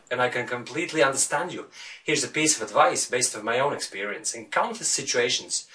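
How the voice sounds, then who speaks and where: A man's voice: medium pitch, pushed and bright, far from the mic. A man from Latvia with a Latvian accent, coaching viewers in English in an earnest video lesson and putting rhetorical questions to them.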